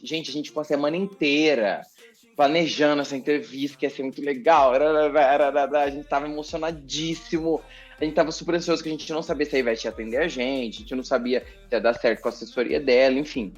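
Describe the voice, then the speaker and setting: A man with a Brazilian accent, a TV journalist chatting as a podcast guest, telling a story in an animated, expressive way and repeating words for emphasis. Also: a little high, highs cut off. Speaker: TV journalist and presenter, interview guest. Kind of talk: casual podcast interview storytelling.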